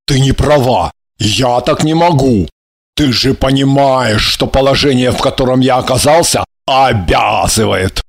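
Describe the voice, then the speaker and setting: Man acting a slow, emphatic young lover. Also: mid-range voice, animated. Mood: earnest, placating. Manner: emphatic, drawn-out, pleading then threatening. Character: young earnest, honourable, exasperated male lover, soon a father.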